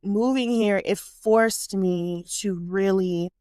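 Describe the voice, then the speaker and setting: A woman with a South Florida accent talks as a podcast guest in a mid-pitched voice. She is animated and reflective and laughs often. The sound is dry and close. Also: takes it slowly, smooth, no echo. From Miami, Florida, United States. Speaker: podcast guest, social worker. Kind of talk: casual conversation.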